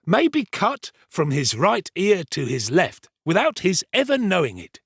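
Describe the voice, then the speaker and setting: Man playing a pompous, slow-talking, gravelly servant. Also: high voice, wildly swooping pitch, no echo, clean studio sound, voice pushed up, exaggerated voice. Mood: dramatic, grave. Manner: pompous, drawn-out, emphatic. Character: pompous, self-important male servant telling his alarming adventure. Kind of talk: dramatised storybook reading.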